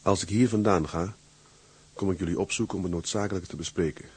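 An actor playing an earnest, weary sea captain in a radio drama, speaking in a sober, measured, plain way. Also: male voice, low voice, fairly even intonation, breathy edge. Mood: grave, resigned. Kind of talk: Dutch radio drama acting.

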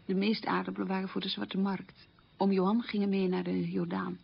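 A woman playing a resilient survivor of childhood poverty, recounting memories in a plain, unhurried monologue for radio. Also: mid-range voice, flat delivery, creaky, slightly rough. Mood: reflective. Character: resilient woman recalling a poor, hungry wartime childhood. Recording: dull, lo-fi radio recording.

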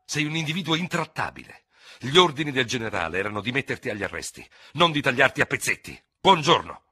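A man's voice: mid-range voice, huge pitch swings, brisk, a bit bright, slightly rough, voice pushed up, heavily acted. A man voicing a level-headed Norman cavalry lieutenant in an audiobook story, disdainful and exasperated but self-controlled. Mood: irritated.

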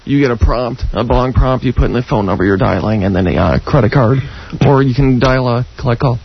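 A man with a low, hard-to-understand voice, a nervous radio guest giving short mumbled answers. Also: fairly quick, vocal fry, breathy edge. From United States. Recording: lo-fi radio broadcast.

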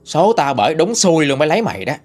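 A man's voice: a little high, big pitch swings, fast, soft background music, pitched above the performer's own voice. A man voicing a contemptuous young husband who berates his wife in a sneering manner.

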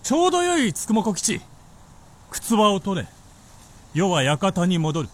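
An actor playing an imperious, ruthless feudal warlord in a radio drama, giving abrupt orders in archaic lordly speech with a forceful, commanding delivery. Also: male voice, high-pitched, wildly swooping pitch, a little slow, heavily acted. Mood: cold, commanding. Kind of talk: Japanese radio drama acting.

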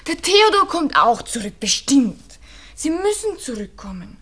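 A woman's voice: high voice, sing-song, measured pace, fairly bright tone, heavily acted. An actress playing a lovesick, trusting young woman, pleading and earnest, with a Viennese accent in a 1960s radio drama. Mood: anxious pleading.

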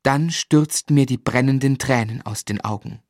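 A man's voice: mid-range voice, normal speed, a little mellow, no echo, studio quality, close to an everyday voice. A man playing an adult looking back on his childhood, narrating a literary radio play in the first person. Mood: sombre, reflective. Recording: faint music behind.

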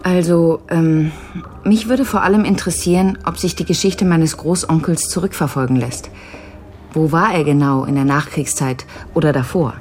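Woman acting insistent relative after answers, quite low female voice, earnest, natural radio drama, bit of music. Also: normal speed, darkish tone, close to an everyday voice. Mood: polite, hesitant.

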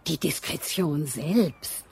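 A kindly, scatterbrained guardian of wayward girls in a radio play. Her voice sits a little low, and she talks earnestly, trailing into gentle confusion. Faint noise hums behind her. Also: lively intonation, takes it slowly, vocal fry, heavily acted. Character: kindly, scatterbrained woman running a girls' home. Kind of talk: radio drama acting.

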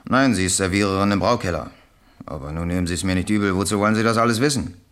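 An actor playing a brash works driver under questioning in a radio crime drama, brisk and slightly defensive. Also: male voice, low voice, fairly bright tone.

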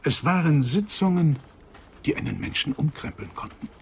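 An actor playing an earnest psychoanalyst of nearly eighty in a German radio crime drama, speaking in a measured, emphatic way. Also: male voice, a little high, very animated, a little hiss or hum. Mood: reflective, admiring. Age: older.

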